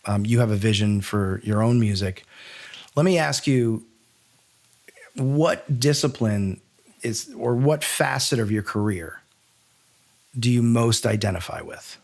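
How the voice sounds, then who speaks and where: A man's voice: fairly low pitch, measured pace, long pauses. A man hosting a podcast in a conversational interview style, reflective and rambling as he thinks aloud. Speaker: podcast co-host.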